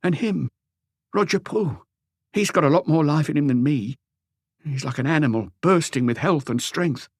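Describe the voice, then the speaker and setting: A man acts out a lonely, betrayed husband in an audiobook, his voice pitched up and pleading. He confesses in a halting, rambling way, meek and anguished, in a dry, close recording. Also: slightly rough, dry recording. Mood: pleading, wistful. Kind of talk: audiobook character dialogue.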